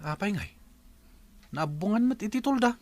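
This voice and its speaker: An actor with an Ilocano accent playing an easygoing, wry, gossipy husband in a comedy audio drama. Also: male voice, high voice, lively intonation, normal speed, no room sound. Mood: curious. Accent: Ilocano, Philippines. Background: loud music.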